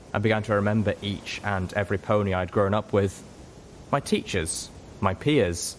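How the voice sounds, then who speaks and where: A man narrating a dramatic first-person story in a measured, grave and emotive manner. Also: lowish voice, slight background noise. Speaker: audiobook narrator.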